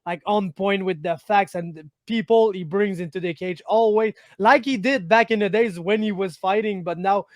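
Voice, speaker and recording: A man's voice: high-pitched, moderate pace, hardly any pauses, dry recording, clean studio sound. A man from Quebec with a Quebec French accent, hosting a casual interview, chatty and friendly. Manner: friendly, chatty, says "my man", rambling questions. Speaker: interviewer, host.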